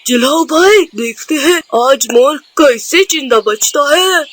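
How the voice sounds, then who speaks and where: A man's voice: very high voice, expressive, takes it slowly, fairly bright tone, full character voice. A man voicing a mischievous, gleeful young bird sidekick in a cartoon. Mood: gleeful plotting.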